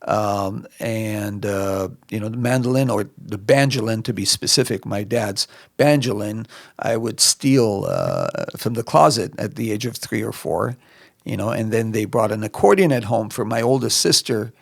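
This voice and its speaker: A middle-aged man from Lebanon talks as a podcast guest, his voice gravelly with fry. He is reflective and unhurried, trailing into fillers and restarting his sentences. The sound is dry and close. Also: lowish voice, lively intonation, no echo. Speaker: musician guest. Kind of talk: relaxed interview answers. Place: podcast studio.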